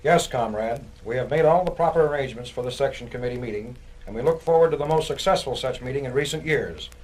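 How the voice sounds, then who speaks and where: A man's voice: mid-range voice, quick pace, a little mellow, natural voice, low-quality recording. A man playing a dutiful, wry communist comrade who gives a formal, obliging report on a dramatized spoken-word record. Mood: upbeat, wry.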